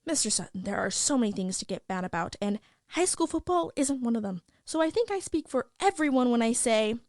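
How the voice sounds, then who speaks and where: A gossipy, opinionated young school reporter, played by a woman, reading the news in a fairly high voice. She is chatty and sardonic, as if sharing secrets. The sound is very dry, with no room on it. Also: lively intonation, a little fast, darkish tone, no echo, lightly acted. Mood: chatty, upbeat. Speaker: host of a school news show. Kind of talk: scripted news podcast narration.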